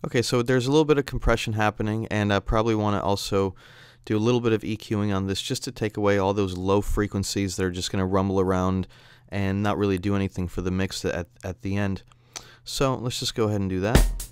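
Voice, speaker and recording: Guy doing a software tutorial, kinda low smooth voice, chatty explaining, really dry recording. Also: steady tone, fast talker, dry recording, faint music behind. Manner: conversational, explanatory. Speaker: audio software instructor. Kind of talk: tutorial narration over screen demo.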